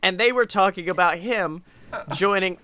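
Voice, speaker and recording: A young man co-hosting a casual wrestling podcast, joking and swearing in an animated way. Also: sits high, moderate pace, clear, resonant tone. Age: young adult. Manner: animated, profane, jokey. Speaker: podcast co-host. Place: small room at home, on a video call.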